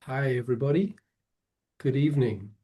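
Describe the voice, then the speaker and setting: A man, presenting an online webinar over a video call, often pausing with fillers and restarting his sentences. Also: mid-range voice, dark tone. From Poland, grew up in England. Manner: hesitant, many fillers and restarts.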